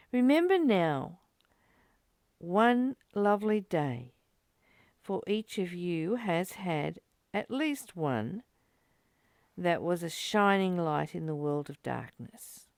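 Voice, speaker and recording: A woman giving a spiritual reading and reflection as a teacher, in a deliberate, measured way with emphatic pauses. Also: mid-range voice, a little mellow, clean tone, no room sound, clean studio sound. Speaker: study group teacher reading and commenting.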